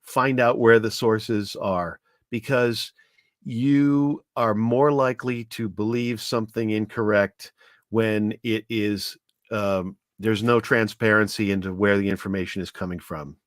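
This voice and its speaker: Earnest, low-voiced man explaining haltingly. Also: steady tone, dry recording, studio quality. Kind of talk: conversational discussion.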